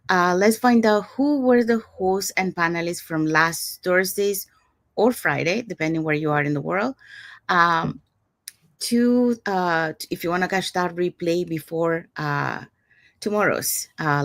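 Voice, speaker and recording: A woman's voice: fairly low pitch, no room sound. A woman from Panama hosting a live online talk over a video call, chatty with many fillers. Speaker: host. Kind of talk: casual livestream hosting.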